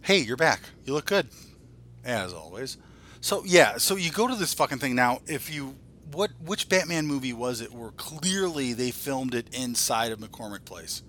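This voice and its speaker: Guy in his 40s, bright punchy voice, podcast host, blunt and sweary, just chatting. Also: mid-range voice, lively intonation, normal speed. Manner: profane, blunt. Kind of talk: casual geek-culture chat.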